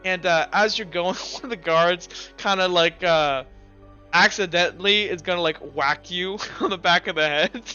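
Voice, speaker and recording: A man working as game master, improvising tabletop narration in a halting, conversational way. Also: sits high, sharp tone, smooth, lo-fi sound, soft background music.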